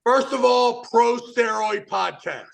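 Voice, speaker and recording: A man's voice: extremely high pitch, slow, creaky, low-quality recording. A man hosting a podcast in a brash, profane, showman-like way. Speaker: podcast host. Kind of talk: casual podcast banter.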